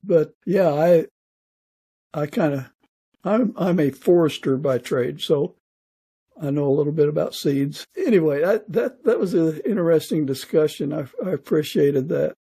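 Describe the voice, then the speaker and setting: A man speaking as a podcast guest in casual, reflective conversation, thoughtful and a little halting. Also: a little high, vocal fry, mellow, slightly rough.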